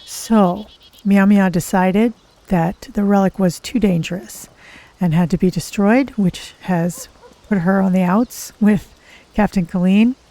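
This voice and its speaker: A game streamer with a soft-toned, mellow, mid-range voice gives a casual story recap. The delivery is thoughtful and a little hesitant, addressed to viewers. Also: mid-range voice, measured pace, low-quality recording.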